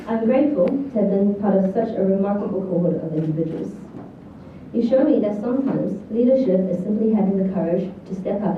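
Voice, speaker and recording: A woman in her thirties with a New Zealand accent, giving a prepared graduation speech as a student valedictorian. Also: mid-range voice. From New Zealand, born in China. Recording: echoey hall, distant, thin lo-fi, faint noise.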